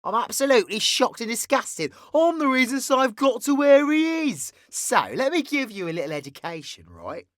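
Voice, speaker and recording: A man's voice: very high-pitched, sing-song, normal speed, hardly any pauses, a bit bright, no room sound, clean studio sound, voice pushed up, full character voice. A British man voicing a manic, cheeky, foul-mouthed supervillain in an audio roleplay, with a theatrical, gleeful, mocking delivery. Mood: gleeful, boastful. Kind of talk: audio roleplay character monologue.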